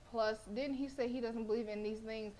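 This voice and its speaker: A young woman streaming a game and reading along, talking in a loud, playful, slangy and animated way. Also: on the high side, moderate pace, slight background noise. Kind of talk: casual gameplay commentary and reading.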